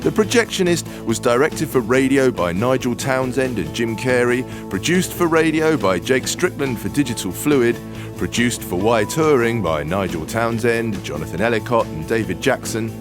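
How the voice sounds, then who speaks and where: A man's voice: mid-range voice, expressive, moderate pace. A man reading credits as a scripted announcer for an audio play. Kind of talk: scripted narration. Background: guitar music.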